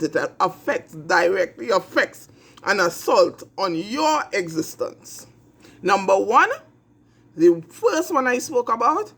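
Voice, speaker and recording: A Trinidadian man with a raised pitch and a gravelly, husky edge to his voice. He hosts his own show and delivers a blunt commentary monologue, hammering each point by repeating it and swearing freely. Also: fairly bright tone. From Trinidad and Tobago. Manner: emphatic, repetitive, blunt and profane. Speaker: host of an online talk show.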